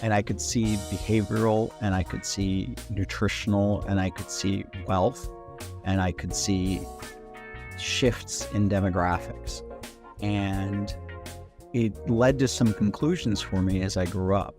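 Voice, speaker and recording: A middle-aged man from India with an American Midwestern accent, reflectively telling his story as an interview guest, haltingly repeating words. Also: lowish voice, fairly even intonation, music in the background. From India, then St. Louis, United States. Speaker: doctor, podcast guest.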